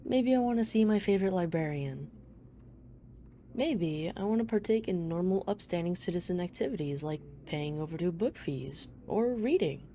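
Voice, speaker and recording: Woman playing a low, mellow, teasing female mafia boss. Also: slight background noise. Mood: coolly teasing. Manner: playful, smug, flirtatious banter. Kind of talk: ASMR roleplay, one-sided dialogue.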